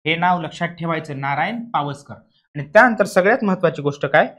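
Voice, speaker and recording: A man's voice: on the high side, animated, a little fast, fairly bright tone. A man with a Maharashtrian accent lecturing as an exam coaching teacher, emphatically repeating key points and putting questions to students that he answers himself.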